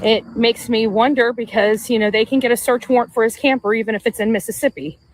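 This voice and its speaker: A woman's voice: fairly high pitch, fairly even intonation, quick pace, a little hiss or hum, poor audio. A woman speaking in a casual vlog-style monologue as a livestreamer. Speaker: livestreamer heard in played clips.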